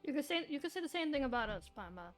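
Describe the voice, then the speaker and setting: A woman's voice: sits high, sing-song, very fast, faint music behind. A woman streamer casually commentating on a game and reading dialogue aloud, blunt and sweary.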